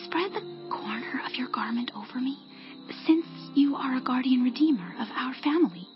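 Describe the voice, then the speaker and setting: A woman playing a humble, devoted young widow in a dramatized Bible reading, with a soft, submissive manner. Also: high voice, lively intonation, normal speed, muffled recording, faint music behind. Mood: hushed, pleading. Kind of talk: dramatized Bible audiobook acting.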